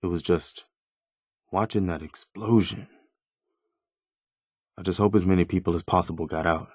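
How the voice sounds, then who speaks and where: A loyal militia officer from a wasteland video game. His voice sits on the low side and is recorded dry and close. He speaks with measured, conscientious earnestness and a touch of weariness. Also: fairly even intonation, a little mellow, no room sound. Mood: earnest, sober. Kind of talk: video game character dialogue.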